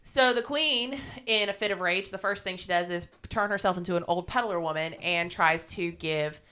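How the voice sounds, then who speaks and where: A woman's voice: lowish voice, normal speed, pushed and bright, clear, resonant tone. A woman podcast co-host casually retelling a fairy tale in an irreverent, salty-tongued, informal way.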